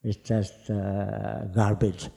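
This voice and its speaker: An older man speaks in a low, gravelly voice with a dark, mellow tone. He hunts for words and restarts his phrases. A long hall reverb trails his voice. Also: little pitch movement. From Java, Indonesia. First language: Javanese. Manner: halting, searching for words, many restarts. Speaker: poet answering audience questions. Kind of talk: unscripted panel answers. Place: festival hall stage.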